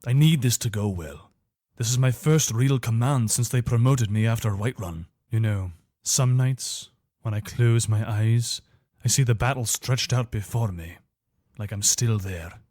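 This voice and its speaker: A man's voice: mid-range voice, a little slow, a little mellow, no room sound, studio quality, close to an everyday voice. A man playing a loyal, weary imperial soldier in a fantasy video game, speaking in an earnest, conversational, soldierly way. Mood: reflective, earnest. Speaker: voice actor for a game character. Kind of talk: video game character dialogue.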